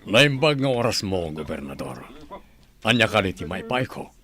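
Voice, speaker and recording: An actor with a Filipino accent playing a principled middle-aged former general in a radio drama, husky and measured. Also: male voice, low voice, very animated, bright, forceful tone, heavily acted. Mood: guarded. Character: principled, steady retired general turned political candidate.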